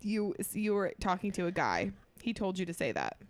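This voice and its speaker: Soft-spoken, giggly woman podcast guest. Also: mid-range voice, very animated, moderate pace. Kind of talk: casual comedy podcast chat.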